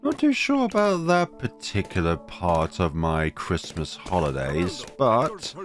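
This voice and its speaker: A man's voice: low voice, wildly swooping pitch, slow pace, music in the background. A man with a cold narrating gameplay in a casual, wry way, talking to his game units as he plays. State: has a cold, voice deeper than usual. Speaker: game guide creator.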